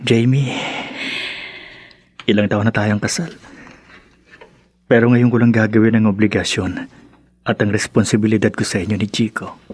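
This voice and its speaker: A man's voice: on the low side, little pitch movement, normal speed, long pauses, a little mellow, husky. An actor playing a loving, remorseful husband and father in a Filipino radio drama, speaking earnestly and emotionally with a Filipino accent.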